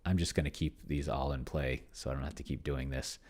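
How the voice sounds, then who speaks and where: A man's voice: rumbling low, fairly even intonation, brisk, darkish tone. A man talking casually to himself in a rambling think-aloud game commentary, sometimes muttering and reading aloud as he types. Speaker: solo tabletop role-player demonstrating a game.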